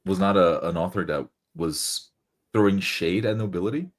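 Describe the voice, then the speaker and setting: A man's voice: deep voice, moderate pace. A man who plays tabletop roleplay, explaining his thoughts enthusiastically in a casual group discussion.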